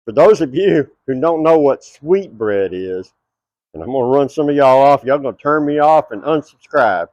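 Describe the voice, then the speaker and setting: A man's voice: medium pitch, expressive, normal speed, darkish tone, dry recording, lo-fi sound. A middle-aged man with a Texas twang, hosting a cooking show at an outdoor backyard table and chatting straight to his viewers.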